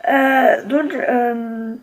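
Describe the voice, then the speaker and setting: A woman's voice: on the high side, fairly even intonation, drawn-out words, a little hiss or hum. A woman beauty vlogger chatting casually to her viewers in a gushing, slangy, enthusiastic way. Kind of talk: casual makeup product chat to viewers.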